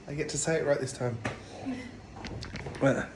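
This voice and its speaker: A man's voice: medium pitch, unhurried, background noise. A man talking casually and chattily as a travel vlogger. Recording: clear music behind the voice.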